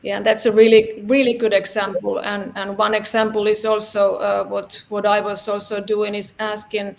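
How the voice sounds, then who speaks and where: A woman's voice: mid-range voice, level tone, normal speed, creaky, a little hiss or hum. A woman hosting a podcast interview, affirming her guest and asking questions with frequent restarts. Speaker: podcast host.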